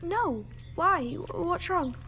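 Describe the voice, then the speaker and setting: A woman playing an excitable, incredulous farm girl with dramatic delivery in an audio pantomime. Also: extremely high pitch, very slow, faint music behind, full character voice. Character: excitable, disbelieving farm girl, Jack's sister.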